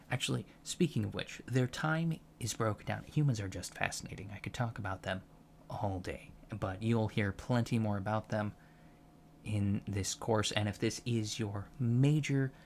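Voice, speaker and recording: A soft-spoken man in an ASMR roleplay as a professor, explaining things haltingly with pedantic asides. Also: on the low side, vocal fry, slight background noise. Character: patient alien professor lecturing on humans, fascinated by them. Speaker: ASMR roleplay performer playing an alien college lecturer.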